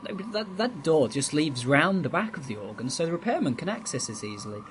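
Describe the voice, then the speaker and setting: A man plays a mild, friendly young villager in an amateur radio drama. He is polite and a little hesitant, with a fairly high, lively voice over faint background noise. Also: a little fast. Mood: friendly, doubtful. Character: friendly, polite young vicar's son, helpful but sceptical.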